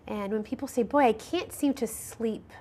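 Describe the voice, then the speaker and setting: A middle-aged woman with a somewhat high voice explains allergy care as a nurse practitioner guest. She talks in a measured, hesitant way, pausing on ums and restarting her sentences as she works through each answer. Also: dark tone. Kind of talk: interview answers on local cable health show.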